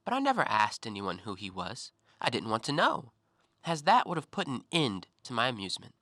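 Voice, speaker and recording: An actor in an audio play, playing a talkative, self-assured intellectual who probes and needles with articulate, deliberate theatrical phrasing. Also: male voice, mid-range voice, wildly swooping pitch, pushed and bright, no room sound, clean studio sound. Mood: reflective storytelling. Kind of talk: stage-style audio play monologue.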